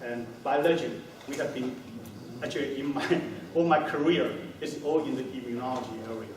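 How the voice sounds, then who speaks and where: A middle-aged man giving a conference talk, halting, with many fillers and restarts. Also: mid-range voice. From Taiwan. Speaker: company founder giving a talk. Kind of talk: conference talk to a small audience. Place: hotel meeting room, indoors. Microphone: far camera, no handheld mic. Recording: echoey room from far off, noisy.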